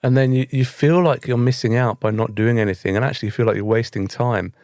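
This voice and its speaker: A man's voice: medium pitch, fast, a little mellow, dry recording, clean studio sound. A man with a British accent, talking in a wry, conversational way as a co-host on a casual podcast. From Britain.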